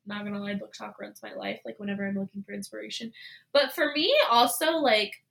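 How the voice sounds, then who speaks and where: A woman's voice: medium pitch, brisk, creaky. Chatty young woman podcast host. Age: 20s. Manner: chatty, frequent "like" and "you know" fillers; animated, voices her own past thoughts. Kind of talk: casual solo podcast talk.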